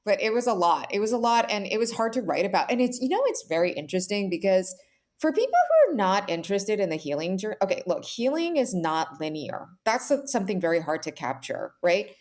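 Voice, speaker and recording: A woman guest author talking candidly in a conversational interview, telling her story in an animated way with lots of "like" and "right?". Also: mid-range voice, fairly quick. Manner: animated storyteller, acts out quoted thoughts, says "like" and "right?" often; candid, self-described intense.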